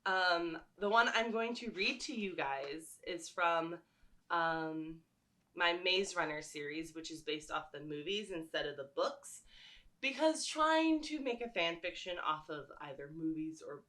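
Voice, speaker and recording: Bright-voiced woman chatting casually to viewers. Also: lowish voice, lively intonation, measured pace. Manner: enthusiastic, rambling storytelling. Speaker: vlogger and fan fiction writer.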